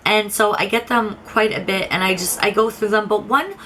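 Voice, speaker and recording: Chatty young woman, slightly raspy today. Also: mid-range voice, steady tone, moderate pace, pushed and bright, slight background noise, low-quality recording. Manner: chatty, candid, rambling with restarts. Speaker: beauty vlogger. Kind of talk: casual product review.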